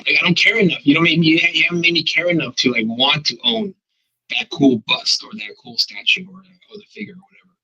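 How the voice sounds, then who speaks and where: A man's voice: fairly high pitch, a little fast, lo-fi sound. A man with a congested voice from a cold, talking animatedly in a casual podcast chat. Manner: animated, emphatic, rambling. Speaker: podcast co-host.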